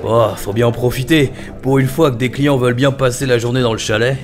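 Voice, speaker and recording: A man's voice: mid-range voice, fairly even intonation, a little fast, over music, close to an everyday voice. A man playing a put-upon, eager-to-serve chalet barman in a comic audio drama. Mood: obliging. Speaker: amateur voice actor in an audio series.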